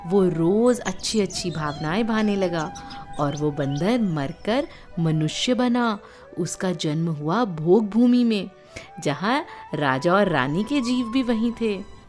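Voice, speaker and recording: A woman with an Indian accent telling a moral story to children in an animated, teacherly way, explaining words and asking listeners questions. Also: medium pitch, slow pace. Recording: music behind the voice.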